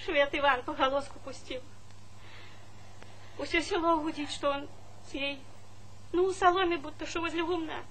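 High-voiced village mother, plaintive, scolding, folksy rural speech, stage comedy, noisy vintage theatre recording. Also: fairly even intonation, moderate pace, long pauses, fairly bright tone. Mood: aggrieved, confiding. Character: distressed, scolding village mother of a grown daughter.

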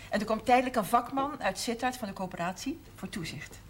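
An actress playing a middle-aged, emotional but steadfast mother in a 1970s TV drama, speaking in measured, earnest phrases. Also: a little high, natural voice. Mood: calm, resolute. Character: steadfast, emotional mother of a large Limburg family. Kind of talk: 1970s television drama acting. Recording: noisy old TV soundtrack.

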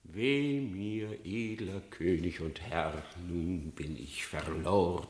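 A man's voice: low-pitched, slow, echoey room. An actor playing a cunning male fox on trial in a radio play, pleading and then defiant. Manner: pleading, wheedling, rising to bold defiance.

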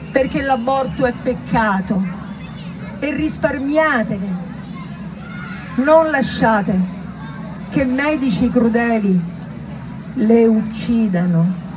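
A woman's voice: high-pitched, background noise. A woman performing a storytelling monologue in an emphatic, declamatory manner with short, pointed phrases. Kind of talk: dramatic theatrical storytelling monologue.

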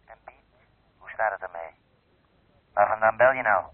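An actor playing a brisk, businesslike haulage boss asking questions in a radio play. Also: male voice, fairly low pitch, dry recording, natural voice. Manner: businesslike, inquiring. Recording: dry, tinny telephone-line sound, old radio.

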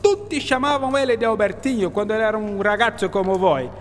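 Eccentric Italian inventor played by a man, very high-pitched, theatrical accent, fond and boastful, radio play, reverberant. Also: brisk, slight background noise, natural voice. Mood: animated storytelling. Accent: put-on Italian. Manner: theatrical storytelling peppered with Italian words. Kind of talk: radio drama acting.

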